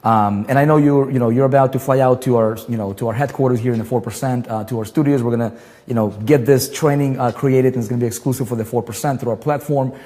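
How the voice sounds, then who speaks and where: Excited, rambling male host. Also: medium pitch, fast talker, long reverb tail. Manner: excited, rambling, with many fillers and restarts. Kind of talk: livestream chat and interview intro.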